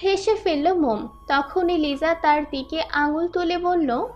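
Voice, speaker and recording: A woman with a very high voice narrates a romantic story from a script. Faint music plays underneath her narration. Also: slow pace, darkish tone, highs cut off.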